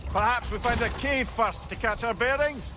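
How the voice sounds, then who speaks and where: High-pitched, wry, learned sidekick. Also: male voice, normal speed. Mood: wry, curious. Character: talkative, wry, learned male companion, genial and inquisitive. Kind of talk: video game voice acting. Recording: clear ambient noise behind.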